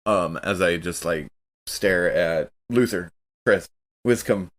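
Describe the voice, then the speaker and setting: A man playing in a tabletop game podcast, talking casually and haltingly as he thinks aloud. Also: low-pitched. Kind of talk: casual improvised game chat.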